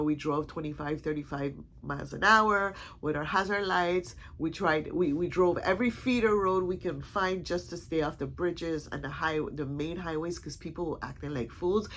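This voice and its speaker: A woman's voice: low voice, slight background noise. A woman vlogger with a stuffy, congested voice, chatting casually in a rambling way full of restarts. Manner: rambling, many restarts and fillers. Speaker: vlog host. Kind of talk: casual chat.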